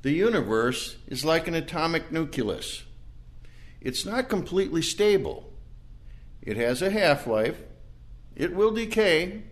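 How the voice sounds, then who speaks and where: Man acting a slow, animated old scientist. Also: on the high side. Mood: awed musing. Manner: deliberate, theatrical, repeating himself. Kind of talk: audio play acting.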